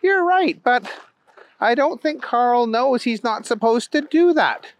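A man's voice: extremely high pitch, expressive, takes it slowly, no echo, pitched above the performer's own voice, full character voice. A man voicing an earnest, friendly little girl in a children's story, explaining things in a childlike, matter-of-fact way.

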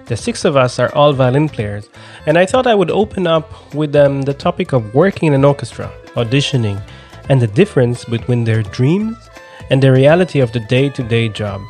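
A man's voice: mid-range voice, animated, normal speed, darkish tone, soft background music. A man hosting a podcast, speaking in a personal, storytelling style. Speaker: podcast host; violinist. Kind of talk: personal narration and conversation.

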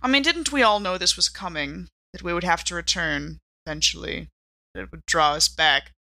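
A woman's voice: fairly low pitch, normal speed, creaky, sharp tone, no room sound. A woman bantering casually as a player in an actual-play tabletop game podcast.

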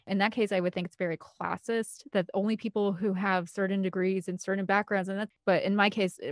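A woman's voice: medium pitch, darkish tone, dry recording, clean studio sound. A woman speaking as an interview guest in a casual, rambling and emphatic conversational way. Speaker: interview guest, film composer and director.

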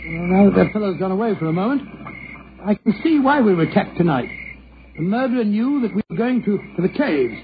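A man playing an earnest, easily astonished gentleman sidekick in an old radio drama, blustery and hesitant. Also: high-pitched, very animated, fairly quick. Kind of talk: old-time radio acting. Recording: muffled old radio recording, hissy.

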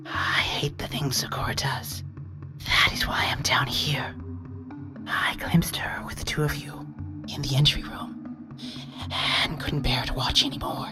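A man's voice: mid-range voice, flat delivery, unhurried, music in the background, voice pushed up, natural voice. Man voicing a whispery, sorrowful hidden spirit. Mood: apologetic, gentle. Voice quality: whispery, strained. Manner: hushed, hesitant, mournful. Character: small, soft, sorrowful hidden presence in the dark, gentle and fearful. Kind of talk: audiobook character voice.

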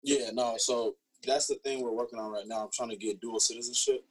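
Young male athlete guest, flat delivery, husky tone, informal explainer. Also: medium pitch, a little fast, low-quality recording. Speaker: interview guest, professional basketball player. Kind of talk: casual explanation.

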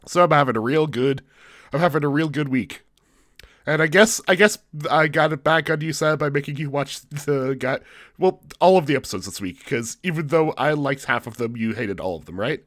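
A man's voice: fairly high pitch, moderate pace, a bit bright, no room sound, clean studio sound. A man co-hosting a podcast in casual chat, congested from the flu and talking in rambling, self-interrupting, jokey bursts. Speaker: podcast co-host.

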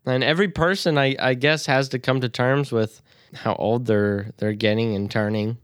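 A man's voice: medium pitch, fairly even intonation, moderate pace, no room sound, studio quality. A man in his early twenties co-hosting a podcast in casual conversation. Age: early 20s. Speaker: podcast co-host. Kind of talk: casual chat.

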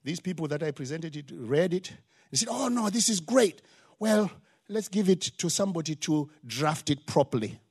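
A man's voice: on the high side, expressive, moderate pace, darkish tone, breathy edge. An older man from Zimbabwe with a Zimbabwean accent, preaching a sermon in an emphatic style that builds to rising exclamations. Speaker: pastor.

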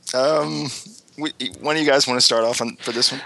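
A man hosting a casual discussion podcast, a little hoarse from a cold and sometimes searching for words. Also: medium pitch, fairly even intonation, brisk, pushed and bright, slight background noise, low-quality recording. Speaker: podcast host.

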